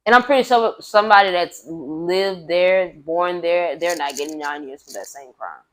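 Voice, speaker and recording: A woman's voice: lowish voice, lively intonation, normal speed. A woman with a light voice, a singer talking loosely with many fillers as a podcast guest. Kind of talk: casual conversation.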